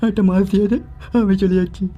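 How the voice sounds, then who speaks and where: A woman voicing a greedy, mocking fish-craving ghost in a horror-comedy cartoon, whiny and drawn-out. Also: mid-range voice, steady tone, warm tone, lo-fi sound, lightly acted. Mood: pleading, greedy.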